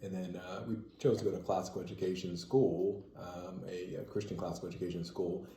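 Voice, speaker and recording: A man answering interview questions as a parent, explaining things in a measured way. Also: deep voice, fairly even intonation, warm tone, reverberant, slight background noise.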